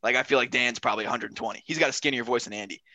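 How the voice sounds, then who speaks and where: Fast, bright-voiced joking podcast host. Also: male voice, mid-range voice, no echo. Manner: joking, profane, quick banter. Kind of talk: casual podcast banter.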